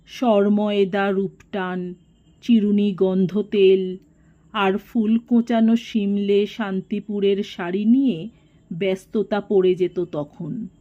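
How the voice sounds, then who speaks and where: A woman narrating a literary story in an expressive, measured and dramatic way. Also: medium pitch. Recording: dull, lo-fi recording.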